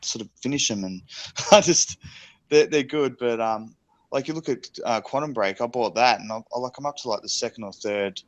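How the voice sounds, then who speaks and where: A man in casual podcast chat, easygoing and halting, often repeating himself as a co-host. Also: mid-range voice. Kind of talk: casual chat about gaming.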